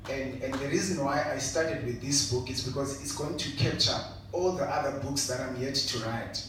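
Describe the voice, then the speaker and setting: A man's voice: mid-range voice, normal speed. Zimbabwean-born man, echoey hall from afar. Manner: earnest, reflective, repeats "you know". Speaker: panelist, entrepreneur and author. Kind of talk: panel discussion answer. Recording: echoey hall from far off, noisy.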